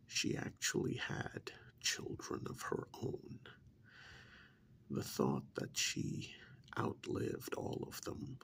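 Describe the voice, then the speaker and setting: A man playing a smug, menacing agent of a vampire in a soft-spoken ASMR roleplay, with a breathy, gravelly half-whisper and deliberate, condescending delivery. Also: a bass voice, exaggerated voice. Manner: slow, deliberate, condescending, with pauses. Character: smug, menacing vampire's male agent interrogating a captured hunter.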